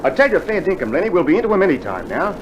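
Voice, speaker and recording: A man's voice: high-pitched, very animated, rapid-fire, highs cut off. An actor playing an earnest, irritable Australian infantryman itching for battle, with emphatic retorts, in a scripted mid-century radio drama. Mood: earnest, insistent. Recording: noisy old vinyl radio drama recording.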